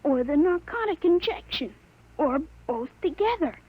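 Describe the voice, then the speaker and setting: A woman playing a thirteen-year-old boy with a grown man's mind in a 1950s radio drama, speaking calmly and matter-of-factly on a dull, lo-fi old recording. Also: high voice, moderate pace. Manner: calm, matter-of-fact, self-assured.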